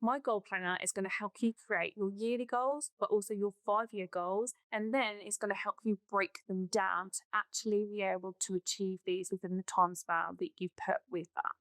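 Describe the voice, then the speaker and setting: A woman's voice: mid-range voice, fairly even intonation, normal speed, few pauses, fairly bright tone, dry recording. Female host reading an ad spot, direct and urging, dry mic. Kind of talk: scripted promo read.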